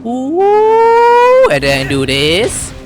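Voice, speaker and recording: A woman doing casual, excitable let's-play commentary, exclaiming and gushing as she talks to the characters and to herself. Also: high voice, extremely slow. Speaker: gameplay streamer. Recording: game music behind the voice.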